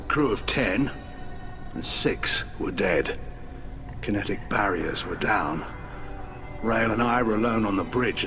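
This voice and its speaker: Gruff low-voiced alien war admiral. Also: male voice. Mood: reminiscing. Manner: blunt, wry, informal. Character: gruff, hawkish male alien fleet admiral, middle-aged war veteran. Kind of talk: video game dialogue acting. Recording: loud music behind the voice. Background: music.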